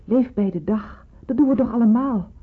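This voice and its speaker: An actress playing a stern but caring senior nurse, husky and insistent, in a radio drama. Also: female voice, a little high, normal speed. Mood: urgent, pleading. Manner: insistent, commanding. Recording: phone-like muffled old radio recording.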